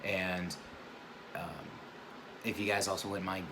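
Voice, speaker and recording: A man with a raspy, sore voice, talking casually to camera in a car vlog with lots of fillers. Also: low-pitched, level tone, slow pace. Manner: laid-back, rambling with many fillers. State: sore, hurting voice. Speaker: car vlogger. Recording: clear background noise.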